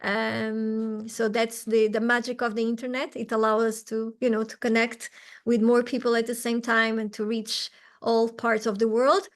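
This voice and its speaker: A woman's voice: on the high side, little pitch movement, normal speed. A Portuguese woman in her forties, speaking English with a Portuguese accent, teaching an online lesson in a chatty, bubbly way with frequent laughter. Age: 40s. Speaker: language teacher.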